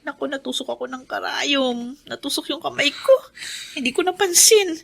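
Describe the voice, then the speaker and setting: A woman's voice: high-pitched, normal speed, gravelly, a crowd in the background. A woman acting a hardworking middle-aged seamstress mother, weary but tender, in a Filipino radio drama with a Filipino accent. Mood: weary, reassuring.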